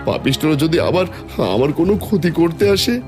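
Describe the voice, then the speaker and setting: A man voicing a humble, anguished village farmer in an animated fairy tale melodrama. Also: on the high side, a little fast, faint music behind, lightly acted. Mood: pleading. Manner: pleading, anguished.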